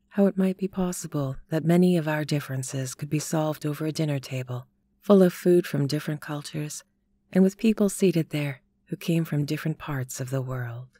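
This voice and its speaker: A woman narrating a listener's written story in a calm, slow, measured reading voice. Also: deep voice, no room sound.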